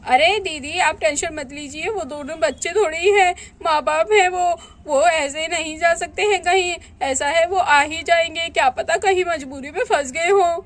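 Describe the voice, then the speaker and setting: Woman playing a brisk, reassuring village neighbor. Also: very high voice, a bit bright.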